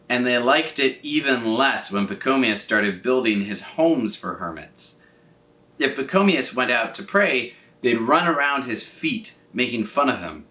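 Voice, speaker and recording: Animated male storyteller in an echoey room. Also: medium pitch. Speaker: storyteller reading aloud for children. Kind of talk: expressive scripted children's story narration. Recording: roomy echo, distant from mic.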